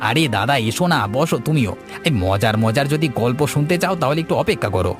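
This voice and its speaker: A man voicing an excitable village shopkeeper in a cartoon story. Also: mid-range voice, quick pace, music in the background, lightly acted. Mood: friendly.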